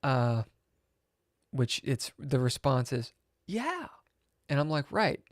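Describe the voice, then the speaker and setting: A man tells a story slowly, with a deadpan, sardonic edge. He swears freely and swings from loud outbursts to muttered whispers. The sound is dry and close, with no room echo. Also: mid-range voice. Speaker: chess streamer. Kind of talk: rambling storytelling on a live stream.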